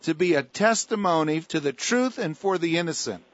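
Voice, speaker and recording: A man's voice: a little high, lively intonation, normal speed, muffled recording. A man hosting a talk radio show, talking with callers and guests in an emphatic, preacher-like way with a lot of repetition.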